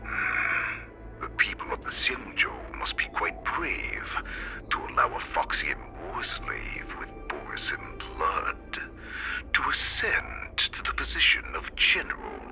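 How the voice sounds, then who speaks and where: An actor voicing a menacing, mocking wolfish beast-man warlord with a deep gravelly voice, slow and taunting. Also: wildly swooping pitch, whispered, exaggerated voice. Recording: music behind.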